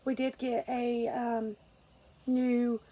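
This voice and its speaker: A woman's voice: a little high, level tone, very slow, mellow, low-quality recording. A young woman, congested and stuffy, casually talking through an unboxing with lots of fillers and restarts. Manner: rambling, many fillers and restarts. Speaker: vlogger.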